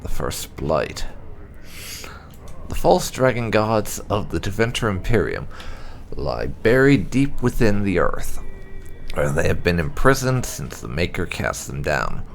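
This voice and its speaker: A man reading game lore aloud as scripted narration, in a measured, dramatic storytelling way. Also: low-pitched, big pitch swings, vocal fry. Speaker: gaming video host. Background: background music.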